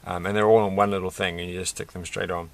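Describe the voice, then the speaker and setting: A middle-aged man with a croaky voice, chatting casually and haltingly through a hobby build, often searching for words. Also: deep voice, level tone. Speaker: Lego build video creator. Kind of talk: casual hobby vlog, unscripted commentary while building. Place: indoors at a desk.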